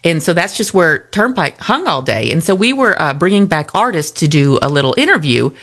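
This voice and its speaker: Lively woman telling a story. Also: deep voice, brisk. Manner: animated storyteller, laughs often. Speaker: radio co-host. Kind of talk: casual morning show banter.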